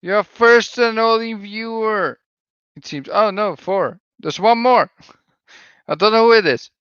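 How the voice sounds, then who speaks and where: A man's voice: high voice, slow, a bit bright, smooth, no room sound, muffled recording. A man from Belgium with a Belgian accent, a laid-back panelist on a gaming podcast who laughs often. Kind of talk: casual gaming podcast chat.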